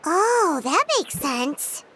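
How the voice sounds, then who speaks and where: A woman's voice: high-pitched, very animated, drawn-out words, music in the background, exaggerated voice, rough recording. A woman voicing a tiny childlike fairy companion in a video game, blunt and full of questions. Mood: curious, puzzled. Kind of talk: video game character voice acting.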